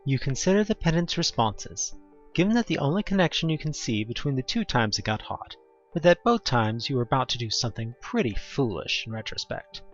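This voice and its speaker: Animated man narrating, slightly muffled. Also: medium pitch, fairly quick, faint music behind. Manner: theatrical, wry. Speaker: narrator of a fan audio drama. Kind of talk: dramatic second-person game narration.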